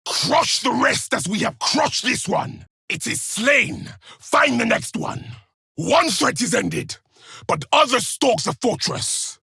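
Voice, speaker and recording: An actor playing a grim, duty-bound space-marine soldier in a video game, giving solemn, clipped battle declarations in a harsh, raspy voice. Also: male voice, very high-pitched, lively intonation, slow pace, bright, forceful tone, no room sound, studio quality, full character voice. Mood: impatient, menacing.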